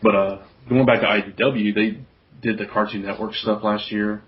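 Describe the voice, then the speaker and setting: Low-voiced man on a muffled recording. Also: little pitch movement, moderate pace. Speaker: podcast co-host. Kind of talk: casual chat about comics. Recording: dull, lo-fi podcast audio.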